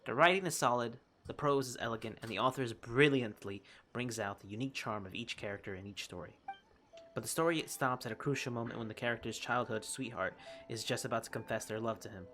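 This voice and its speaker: A game streamer doing casual, expressive commentary and reading on-screen text aloud in an informal way. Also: medium pitch, fast. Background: game music.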